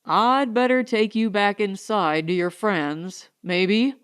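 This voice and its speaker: A woman's voice: medium pitch, slow pace, clean tone, close to an everyday voice. A woman voicing a plain-spoken, uneasy man who farms on a colony world, terse and awkward, in an audiobook. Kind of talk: audiobook character dialogue.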